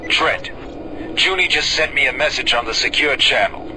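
An actor playing a male space security officer who gives brisk, matter-of-fact orders in a video game. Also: close to an everyday voice. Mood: steady. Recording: game music and engine rumble behind.

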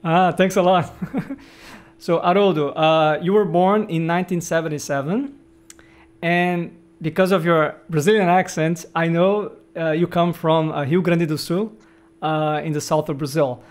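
A middle-aged man with a fairly high voice hosts a podcast, speaking in a measured, friendly way. He talks over a video call from his home office. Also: steady tone. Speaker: podcast host. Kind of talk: interview host, reading the intro.

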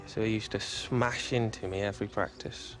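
A man's voice: fairly low pitch, fairly even intonation, normal speed, fairly bright tone, soft background music, lightly acted. A man playing a young footballer with a British accent, joking but guarded and downcast, in a game cutscene. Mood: bitter, subdued. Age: young adult. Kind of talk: naturalistic game cutscene acting.

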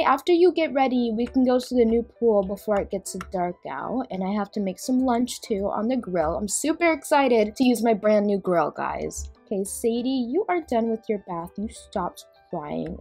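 A woman's voice: on the high side, dark tone, slightly rough. A woman hosting a voiced game roleplay, chatty and often scolding as a mother. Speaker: roleplay host playing a mother.

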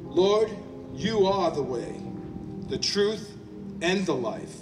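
An older man with a New Jersey accent prays slowly and earnestly at an outdoor podium. His somewhat high voice carries through an echoey PA and is picked up from a distance, with music playing underneath. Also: big pitch swings. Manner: slow, earnest declaring. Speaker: prayer leader at a public gathering. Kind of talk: public prayer. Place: outdoors on city hall steps. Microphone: podium mic on a stand.

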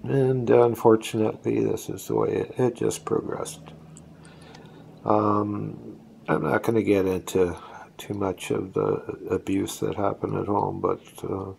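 Gravelly, low-voiced older man reminiscing. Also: a little mellow, slight background noise. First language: Estonian. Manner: rambling, reflective, with restarts. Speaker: vlogger. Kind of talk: personal storytelling. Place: indoors, seated on a couch at home. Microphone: phone camera held close.

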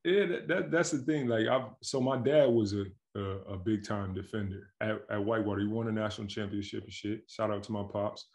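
A man in his thirties from Milwaukee, a pro basketball player, telling stories in a relaxed, slangy way on a video call from home. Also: fairly low pitch, a little fast, creaky, hardly any pauses. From Milwaukee, Wisconsin, USA. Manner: relaxed, slangy, animated storytelling with frequent laughs. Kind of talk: casual interview chat. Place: home with staircase behind, indoors. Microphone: video call webcam.

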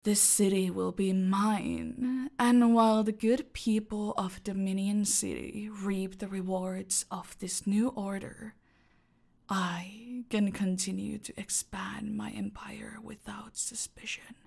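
A woman playing a smug, menacing female cartel boss in an audio roleplay, speaking deliberately and haughtily, often dropping into breathy near-whispers. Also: mid-range voice, fairly even intonation, a little mellow. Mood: cold, menacing. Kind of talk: dramatic audio roleplay monologue addressed to a captive.

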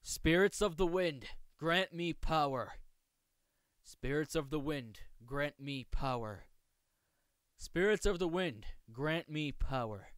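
Man acting coolly formal young swordsman, crisp voice. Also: on the high side, expressive, no echo, studio quality. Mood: fervent, commanding. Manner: formal, measured, precisely enunciated. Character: polite, aloof young male swordsman, coolly menacing. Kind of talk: amateur voice acting showcase of manga lines.

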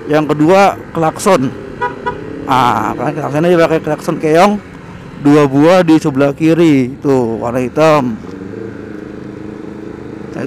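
A young man chatting excitedly in a casual riding vlog, recorded on a helmet camera while on a motorbike in the city. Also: a little high. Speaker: motovlogger. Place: on a motorbike on city streets, outdoors. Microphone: helmet-mounted action camera. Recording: loud wind and traffic noise.

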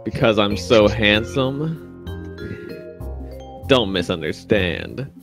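A man's voice: fairly low pitch, lively intonation, slow, music in the background. A man voicing a haughty, self-satisfied teenage schoolboy in an anime fan comic dub. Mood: smug. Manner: smug, condescending.

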